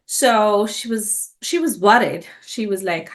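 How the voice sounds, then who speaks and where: A woman's voice: mid-range voice, animated, takes it slowly. Woman from india, 30s, telling her story on a podcast, earnest, lots of restarts. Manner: earnest, many restarts and self-corrections. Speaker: entrepreneur guest. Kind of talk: interview storytelling.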